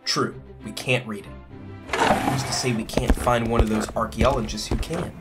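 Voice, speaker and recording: A man's voice: on the low side, fairly even intonation, a little slow, lightly acted. A man playing an adventurous, earnest young crewman in a science fiction audio drama, talking in a casual way. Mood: persuasive, earnest. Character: adventurous young spaceship crewman, earnest persuader with a haunted past. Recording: music playing under the dialogue.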